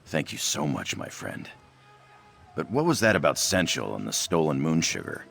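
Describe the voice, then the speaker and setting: Male actor as earnest young half-orc game character: rumbling bass with fry, swinging intonation, self-effacing. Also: sing-song, normal speed, fairly bright tone, faint music behind, exaggerated voice. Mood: grateful, worried. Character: young half-orc, half-cat-folk man, earnest, shy, lovestruck. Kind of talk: video game character dialogue, AI-voiced.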